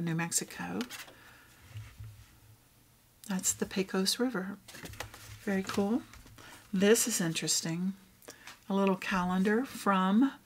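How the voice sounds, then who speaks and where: A woman with a Texan accent, showing and reading out old thrift finds in a casual, gentle way. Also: lowish voice, slight background noise. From Texas. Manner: reads old items aloud, softly remarking on them. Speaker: vlogger. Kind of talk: casual thrift haul show-and-tell.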